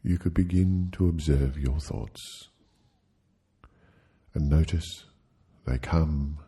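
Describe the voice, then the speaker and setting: Very deep, dark-voiced man guiding sleep hypnosis. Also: breathy edge. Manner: soothing, gentle, halting phrases with long pauses. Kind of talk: guided sleep hypnosis, slow meditation.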